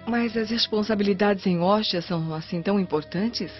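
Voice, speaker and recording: Light music plays beneath a dull radio drama recording of an actress playing a Roman wife. Her medium-pitched voice is earnest and theatrical, loving yet anxious. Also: normal speed, lightly acted. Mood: worried, pleading. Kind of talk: radio soap opera acting.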